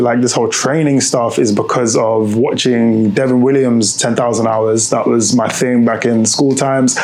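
A man's voice: medium pitch, darkish tone, low-quality recording. A young British man from the UK hosting his own podcast, talking in a chatty, rambling way with lots of fillers. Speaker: podcast host and basketball coach. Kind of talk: casual monologue.

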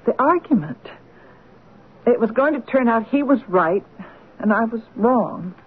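Breathy, naive, wistful American woman. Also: fairly high pitch, expressive, measured pace, phone-quality sound, full character voice. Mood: sad, bewildered. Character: naive, wistful, lovelorn woman searching for missing lover. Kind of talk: old-time radio drama acting. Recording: band-limited old radio broadcast.

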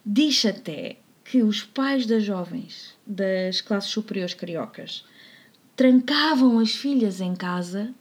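A woman with a European Portuguese accent, co-hosting a podcast and telling a history story in a playful, jokey way, slipping into quiet asides. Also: medium pitch, expressive, takes it slowly, husky. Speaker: podcast co-host. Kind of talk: casual storytelling about history.

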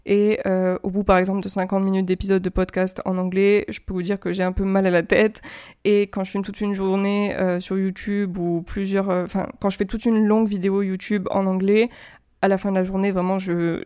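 A woman's voice: medium pitch, little pitch movement, a little fast, dark tone, smooth, no echo, studio quality. A French woman hosting her own podcast, talking casually and explaining things with lots of fillers and restarts. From France. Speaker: podcast host and YouTuber. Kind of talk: casual solo podcast talk.

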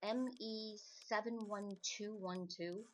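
A woman's voice: mid-range voice, fairly even intonation, slow, natural voice. An actress playing an overworked, wry woman writer on deadline in an old-time radio drama, sounding exasperated.

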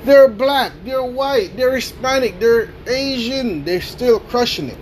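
A man with a notably high voice speaks slowly and deliberately into a podcast mic, delivering a motivational talk. He hammers his points home with repetition and tags many lines with a questioning "right?". A faint hum sits under the voice. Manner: emphatic, repeats key phrases, keeps asking "right?". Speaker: podcast host.

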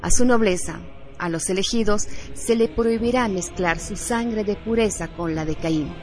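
A woman's voice: mid-range voice, moderate pace. A woman reading a scripted radio narration in a solemn style. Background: background music.

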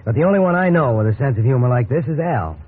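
Actor as a wry American husband, old tinny radio. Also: male voice, mid-range voice, expressive, quick pace, lightly acted. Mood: baffled. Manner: wry, breezy, conversational. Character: cheerful, incredulous suburban husband, an encyclopedia salesman. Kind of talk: 1950s radio drama acting with wry first-person narration. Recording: tinny, hissy 1950s radio recording.

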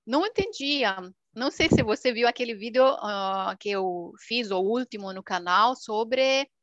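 A young woman from Romania, a native Romanian speaker with a Romanian accent, chatting casually in an expressive, animated way. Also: a little high, measured pace, no echo, muffled recording. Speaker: language video creator. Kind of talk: casual chat.

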